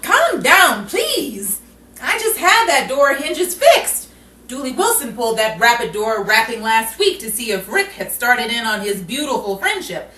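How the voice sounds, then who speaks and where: A woman acts out a sardonic Hollywood woman of the 1940s, dragged out of bed and dryly exasperated. Her voice sits fairly high and jumps around expressively, in radio comedy style. The recording is roomy, a bit distant and faintly noisy. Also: sing-song, unhurried, pushed and bright, exaggerated voice. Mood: flustered exasperation. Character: sardonic, put-upon 1940s Hollywood woman woken at night.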